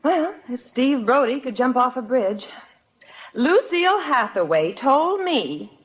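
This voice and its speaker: An actress playing a patient but sharp-witted middle-aged American wife, dry and firm, in an old-time radio comedy. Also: female voice, high voice, big pitch swings, slow, exaggerated voice. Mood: exasperated. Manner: dry, firm, gently sarcastic. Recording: dull vintage radio recording.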